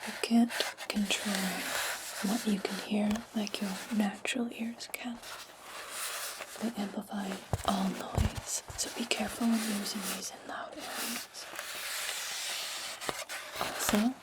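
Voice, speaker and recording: A woman playing a commanding witch in a whispered ASMR fantasy roleplay, speaking slowly and deliberately in a breathy whisper as she instructs her servant. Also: medium pitch, fairly even intonation, natural voice, rough recording. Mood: calm, instructing.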